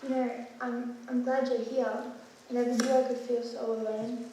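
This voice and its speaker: A woman playing a grieving, guilt-ridden mother who speaks haltingly and pleads, recorded from far off on an echoey stage. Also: on the high side, level tone, warm tone, a little hiss or hum, close to an everyday voice.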